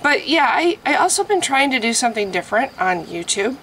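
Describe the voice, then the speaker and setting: A woman vlogging in a casual, rambling way, chatty and self-deprecating, who keeps clearing a scratchy throat. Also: sits high, big pitch swings, a little fast, a bit bright. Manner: chatty, self-deprecating, frequent laughs and restarts. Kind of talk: casual rambling chat to camera.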